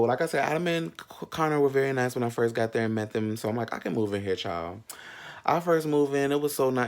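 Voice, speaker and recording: A man in his thirties telling a personal story in a casual, sassy, slangy and candid way, with frequent asides. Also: mid-range voice. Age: 30s. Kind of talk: casual, animated storytime chat.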